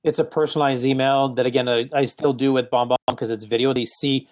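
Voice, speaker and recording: A man with a mild French accent talks in a relaxed, casual way about how he follows up with home buyers. His sentences stall and restart, padded with "you know" as he works out what to say. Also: medium pitch. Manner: halting, restarts often, many "you know"s. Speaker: real estate agent. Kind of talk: casual coaching conversation.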